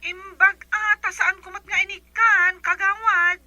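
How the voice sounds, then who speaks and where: A woman plays a wary Ilocano village storekeeper in a comedy drama. Her high, piercing voice is recorded dry, and she questions people bluntly, guarding her goods. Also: fairly even intonation, a little slow, pushed and bright, dry recording, lo-fi sound, heavily acted. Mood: complaining. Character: wary, stingy female village shopkeeper.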